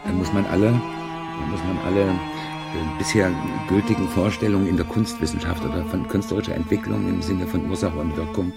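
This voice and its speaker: A man's voice: low voice, fairly even intonation, fairly quick, gravelly, over loud music. A man speaking in an earnest, lecturing way.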